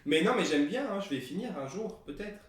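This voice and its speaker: A man's voice: sits high, animated, brisk, a little hiss or hum. Joking man, very echoey distant sound. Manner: joking, laughs often. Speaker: podcast co-host. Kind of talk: casual chat. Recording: very echoey room, distant mic.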